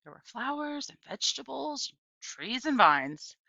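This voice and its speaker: A woman reading a children's story aloud as expressive narration. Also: high-pitched, unhurried, gravelly, no echo, highs cut off. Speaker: audiobook reader.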